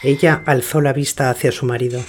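A man narrating a scripted radio story in a measured, sober manner. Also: mid-range voice, fairly even intonation, a little hiss or hum.

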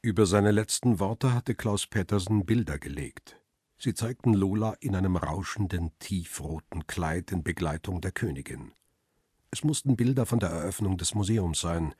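An actor playing a world-weary middle-aged photographer who narrates a crime radio play in a measured, reflective way. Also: male voice, sits low, lively intonation, a little mellow, slightly rough, no echo, studio quality. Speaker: first-person narrator.

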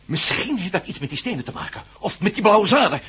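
An eager, questioning adventurer in a radio play. His voice is bright and fairly high, with very lively intonation. He sounds inquisitive and impatient. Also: fast, husky, heavily acted. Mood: curious. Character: inquisitive, impatient male adventurer probing a mystery. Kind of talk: Dutch radio play acting.

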